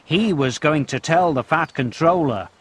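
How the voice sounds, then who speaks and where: A male narrator tells a scripted story for young children, addressing them directly. His voice sits a little high and swoops expressively, at a slow, measured pace. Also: lively intonation, noisy background. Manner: addresses young listeners with questions and countdowns. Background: music.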